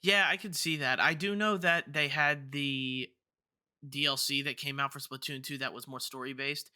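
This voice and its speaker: A man's voice: mid-range voice, fairly even intonation, normal speed, bright, forceful tone, smooth, dry recording, studio quality. A man hosting a podcast, talking casually through gaming news in a rambling way, often stammering and restarting. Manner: rambling, stammering with repeated false starts. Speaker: podcast host.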